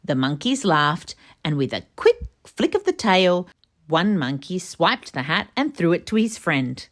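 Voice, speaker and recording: A woman with a low voice narrates a children's storybook. She is expressive and emphatic, with highly animated intonation that rises and falls throughout. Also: a little slow. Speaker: storybook reader. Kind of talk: children's read-aloud narration.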